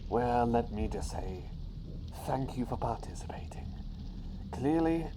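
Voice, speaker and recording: Man playing a slow-talking, kindly bird doctor, ASMR. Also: mid-range voice, lively intonation, noisy background, natural voice. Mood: kindly, reassuring. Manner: playful, avuncular British-style phrasing, with drawn-out repetitions. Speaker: ASMR roleplay performer. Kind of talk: soft ASMR roleplay, soothing and partly whispered.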